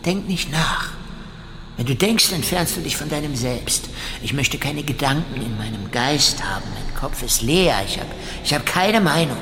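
An actor playing a wary, fervent commune guru and ex-convict, preaching in a declamatory radio drama monologue. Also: male voice, a little high, lively intonation, moderate pace, background noise.

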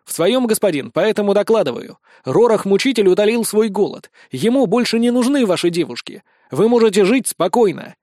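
Man playing an earnest high-voiced soldier hero. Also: expressive, a little fast, hardly any pauses, darkish tone, no echo, studio quality. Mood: formal. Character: earnest, hapless young soldier hero facing angry villagers.

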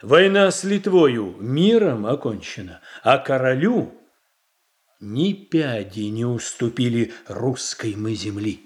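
An actor playing a measured, self-assured statesman in a verse radio play, speaking in a deliberate, formal, declamatory way. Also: medium pitch, sing-song. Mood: firm, reasoning. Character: measured, self-assured statesman boyar defending his reforms.

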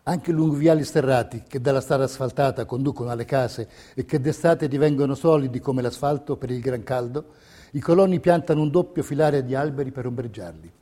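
A man's voice: medium pitch, a little fast, warm tone. A man delivering scripted, theatrical documentary narration in a declamatory, rhetorical way. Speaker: stage narrator.

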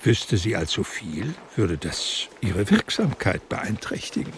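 Expressive, creaky male literary narrator. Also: fairly low pitch, slow, a little hiss or hum. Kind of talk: literary scripted narration in a radio drama. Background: music.

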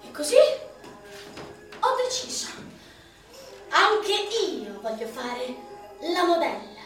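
A woman's voice: sits high, very animated, a bit bright, heavy reverb, distant mic, soft background music, exaggerated voice. A woman playing a wry struggling young actress. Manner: wry, quick punchlines, bursts into song. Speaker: stage performer. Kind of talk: live one-woman theatre monologue.

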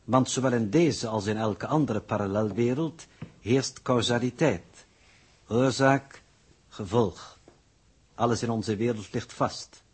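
A man playing a calm, pedantic scientific coordinator who lectures formally and condescendingly in a 1960s radio drama. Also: mid-range voice, measured pace, breathy edge. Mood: lecturing. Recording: muffled-edged vintage 1960s radio recording.

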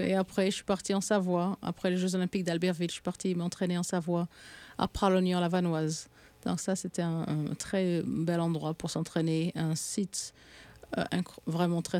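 A woman's voice: on the low side, warm tone, no echo. A woman from France, a guest on a community radio show, chatting casually about her life and training with frequent restarts.

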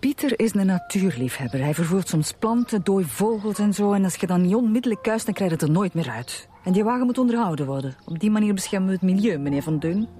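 Radio drama casting: female actor for proud middle-aged mother, Flemish accent, mellow mid-range voice, defensive and curt. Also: mid-range voice, expressive, quick pace, few pauses, close to an everyday voice. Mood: defensive, indignant. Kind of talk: naturalistic radio drama acting.

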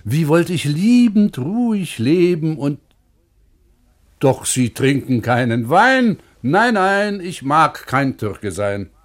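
Slow, animated male reciter. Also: on the high side. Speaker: reciter in a literary radio programme. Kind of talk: theatrical recitation of verse and historical texts, 1950s German radio.

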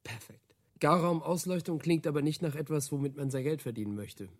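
An actor as higher-voiced sceptical male interviewer. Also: fairly quick, lightly acted. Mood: dry scepticism. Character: sceptical, probing male interviewer with dry reactions. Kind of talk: conversational radio drama acting.

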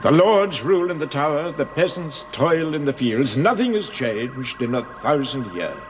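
A man's voice: on the high side, big pitch swings, moderate pace, music in the background. An actor playing a weary, resigned village man, speaking bitterly. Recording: distant echoey archive TV clip with ambience.

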